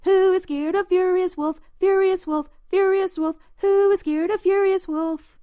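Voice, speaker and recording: A woman's voice: extremely high pitch, big pitch swings, slow pace, warm tone, no echo, studio quality, pitched above the performer's own voice, full character voice. A woman voicing defiant little pig brothers from a children's storybook in a squealing, sing-song manner. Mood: playful taunting. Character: defiant little storybook pigs, young brothers, singing tauntingly.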